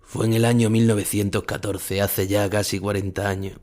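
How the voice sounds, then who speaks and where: Ageing retired matador, played by a male actor, low-pitched, wistful and brooding, for an audio drama monologue. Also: little pitch movement, normal speed, darkish tone, natural voice. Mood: reflective. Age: older. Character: ageing melancholy retired Spanish bullfighter musing on his past.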